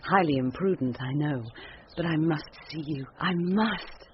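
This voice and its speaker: An anxious headmaster's wife in a radio play. Her voice is low for a woman, earnest and urgent, on a dull, noisy old recording. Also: unhurried. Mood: concerned, urgent. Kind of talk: radio drama dialogue.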